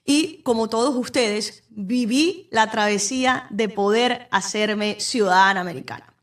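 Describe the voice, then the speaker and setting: A woman's voice: on the high side, a little slow. A young adult woman lawyer with a Venezuelan accent, hosting a podcast and explaining legal matters directly to the listener. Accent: Venezuelan Spanish. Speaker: immigration lawyer, podcast host. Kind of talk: informative solo talk. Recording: voice somewhat far from the mic.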